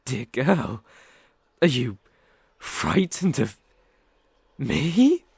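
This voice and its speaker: A man's voice: mid-range voice, very animated, very slow, creaky, a little mellow, husky, no room sound, exaggerated voice. A man with a British accent playing a sadistic, predatory werewolf in an ASMR roleplay, theatrical and menacing, savoring his words. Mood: coaxing, amused. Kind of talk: ASMR roleplay audio drama.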